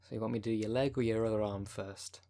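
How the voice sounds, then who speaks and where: Casting: man to play necromancer fight coach for ASMR audio roleplay, lowish male voice, wry and caring, casual and colloquial delivery. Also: fairly even intonation, fast, creaky, mellow. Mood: wry, reassuring. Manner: chatty, colloquial, wry, consoling.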